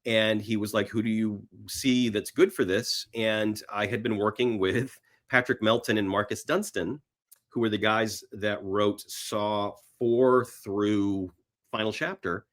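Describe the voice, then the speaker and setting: A man's voice: on the low side, fairly even intonation, measured pace. A man being interviewed, telling stories casually in a rambling way and acting out imagined bits of dialogue. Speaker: podcast guest, screenwriter.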